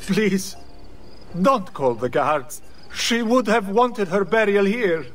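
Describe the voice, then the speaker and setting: A man's voice: high voice, lively intonation, takes it slowly, faint music behind. An actor playing a grieving man in a video game, pleading and sorrowful as he speaks of his murdered fiancée.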